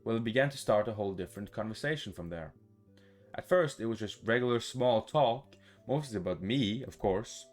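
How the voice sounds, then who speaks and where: A man's voice: lowish voice, expressive, fairly quick. A man reading a visual novel aloud as a gaming YouTuber, stumbling over words now and then and adding his reactions. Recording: music behind the voice.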